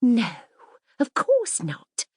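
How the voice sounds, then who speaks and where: A woman's voice: fairly high pitch, huge pitch swings, drawn-out words, darkish tone, breathy edge, no echo, clean studio sound, pitched above the performer's own voice, full character voice. A woman voicing a boastful, mischievous young princess in a grand, bossy, theatrical manner for a children's audiobook.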